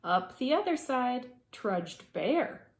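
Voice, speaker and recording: A woman preschool teacher reading a children's story aloud in a dramatic way, with hushed asides to young listeners. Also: fairly high pitch, sing-song, takes it slowly, roomy sound.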